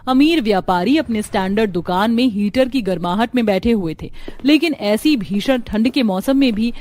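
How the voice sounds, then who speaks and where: A woman's voice: on the high side, lively intonation, normal speed, a little mellow. A woman narrator reading a scripted story in a dramatic storytelling style.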